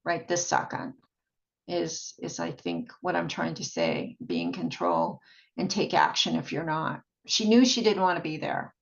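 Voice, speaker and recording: A woman talks in a fairly low voice with a lot of gravelly fry. She rambles casually as a video blogger would, halting, repeating herself and trailing off. The recording is dull and lo-fi. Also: expressive. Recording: dull, muffled lo-fi sound.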